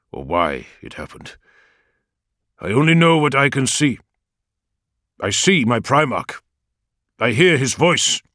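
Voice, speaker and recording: Man playing a devoted warrior, deep, solemn, emotion rising, some lines slowed and pushed out. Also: fairly bright tone, dry recording, clean studio sound, heavily acted.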